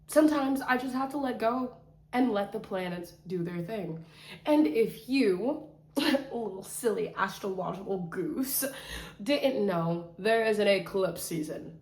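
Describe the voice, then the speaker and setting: A woman's voice: medium pitch, expressive, a little slow, a little mellow. A woman vlogging from her bedroom on the camera mic, playful and self-mocking, rambling and slipping into sing-song bits. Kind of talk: rambling casual vlog. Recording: echoey room sound.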